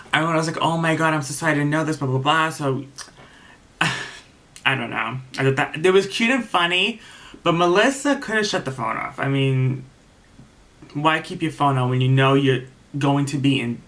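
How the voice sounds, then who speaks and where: A man's voice: mid-range voice, sharp tone. A young man giving a casual, rambling review of a reality show episode, swearing freely and retelling scenes as he goes.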